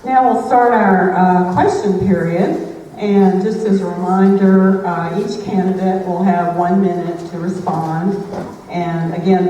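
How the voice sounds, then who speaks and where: A woman moderator explains the rules in a slow, relaxed way. Her voice is mellow and low-key. She sounds distant in a big echoing hall. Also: a little hiss or hum. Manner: relaxed, plain, a few fillers. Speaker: forum moderator. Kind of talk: moderating a forum. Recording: echoey hall, mic far off.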